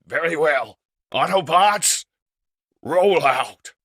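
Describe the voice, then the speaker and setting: A man voicing a noble, anguished robot leader in a comic dub, booming and dramatic. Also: expressive, slow pace, fairly bright tone, husky, full character voice. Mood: commanding.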